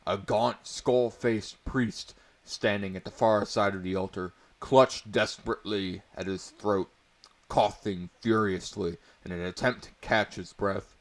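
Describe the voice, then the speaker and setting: Slow, low-voiced man reading dramatically. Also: fairly even intonation. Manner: portentous, breaking into amused commentary. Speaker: narrator reading a story aloud. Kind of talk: mock-dramatic storybook reading with amused asides.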